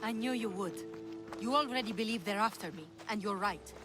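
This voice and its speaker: A woman's voice: mid-range voice, brisk, lightly acted. An actress playing a bold, self-assured young woman who yearns for heroic glory, in video game cutscene dialogue over music. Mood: determined, hopeful.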